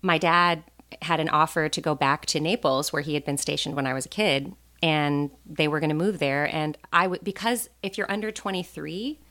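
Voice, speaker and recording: American woman podcast guest, pretty low voice, joking around and telling stories, says like a lot. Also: normal speed, sharp tone. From born in Taiwan, raised in a US Navy family. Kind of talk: casual conversational storytelling.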